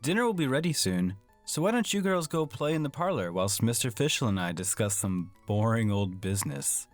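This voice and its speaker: A man's voice: mid-range voice, expressive, brisk, soft background music, lightly acted. A man playing a courteous, formal, doting father in a horror radio drama. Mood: genial. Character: genteel doting father, courteous businessman host.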